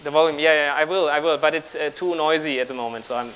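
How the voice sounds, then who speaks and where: Bright-voiced man giving a halting tech demo. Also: on the high side, a little hiss or hum, low-quality recording. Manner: halting, improvising while working at a laptop. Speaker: conference speaker. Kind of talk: technical talk with live demonstration. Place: large auditorium on stage with audience.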